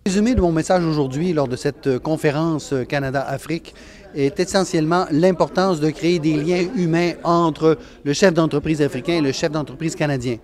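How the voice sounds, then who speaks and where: A man's voice: on the high side, brisk, a little mellow. A man in his fifties, a conference speaker, giving an interview answer. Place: large hotel conference hall. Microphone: handheld reporter microphone.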